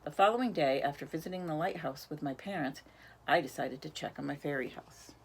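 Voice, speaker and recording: A woman's voice: sits low, brisk, creaky, breathy edge, low-quality recording. A woman reading a picture book aloud expressively, now and then speaking to young listeners. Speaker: reader.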